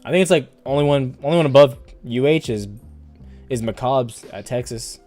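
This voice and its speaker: A man's voice: mid-range voice, moderate pace, darkish tone, soft background music. A man in his twenties hosting a stock trading livestream, chatting casually and rambling with lots of "like". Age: 20s. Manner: laid-back, rambling, heavy use of "like". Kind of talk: casual livestream chat, reading viewer comments.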